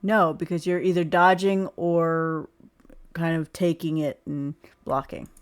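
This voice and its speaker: Lower-voiced woman game master. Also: fairly even intonation, measured pace, clean tone. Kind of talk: running a tabletop roleplaying game.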